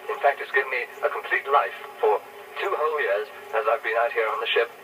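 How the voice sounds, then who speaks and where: Male sixties radio DJ, rapid sign-off, medium pitch, hissy vintage broadcast sound. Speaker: radio disc jockey. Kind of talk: farewell radio message. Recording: distant, hissy archive 1960s radio recording.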